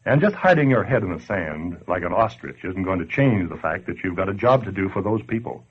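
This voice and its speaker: Streetwise tough guy, low husky voice, swooping sardonic delivery, vintage radio drama, dull lo-fi recording. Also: animated, a little fast. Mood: blunt, urging. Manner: blunt, sardonic, colloquial. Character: tough, slangy streetwise man scolding complacent listeners. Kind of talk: 1940s radio acting, direct address to listener.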